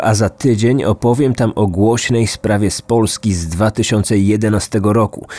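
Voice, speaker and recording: A man's voice: on the low side, darkish tone. A man in his thirties hosting a true crime podcast with scripted narration. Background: music.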